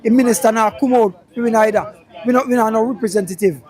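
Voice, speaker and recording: A man's voice: high-pitched, quick pace, a little mellow. A Jamaican man, a lifelong resident, speaking plainly in Patois.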